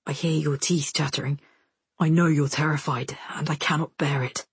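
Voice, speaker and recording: A woman voicing a gentle, protective, remorseful young male soldier in a low, breathy hush for an audiobook. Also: steady tone, moderate pace, no echo. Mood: tender, pleading.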